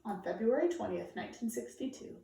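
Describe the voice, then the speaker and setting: A woman reading a children's nonfiction book aloud in a measured, emphatic way, phrasing it for young listeners. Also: on the high side, animated, vocal fry, dark tone. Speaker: storybook reader. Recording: very echoey room, distant microphone.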